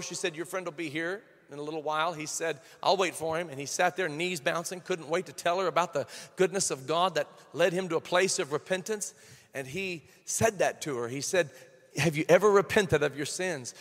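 High-voiced pastor preaching in a reverberant church. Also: male voice, brisk. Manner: swings from quiet storytelling to shouted praise. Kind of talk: sermon.

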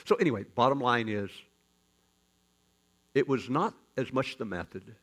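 A man pastor preaching a sermon as folksy, joking storytelling, with sudden loud rises in his delivery. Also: medium pitch, expressive, moderate pace.

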